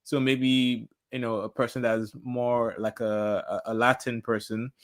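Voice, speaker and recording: A man's voice: medium pitch, flat delivery, unhurried. Podcast host guy from nigeria, easygoing, laughs a lot, stumbles and restarts. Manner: friendly, agreeable, laughs easily, hesitant restarts. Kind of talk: casual interview chat.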